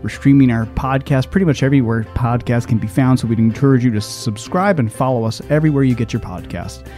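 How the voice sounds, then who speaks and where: Man, podcast host, warm dark tone, scripted outro, faint music behind. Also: mid-range voice, fast.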